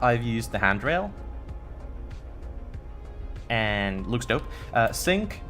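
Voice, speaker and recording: A man's voice: medium pitch, fairly bright tone. A young man from Finland with a Finnish accent, chatting casually on a game live stream and stumbling over his words. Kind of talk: casual live-stream chat while building.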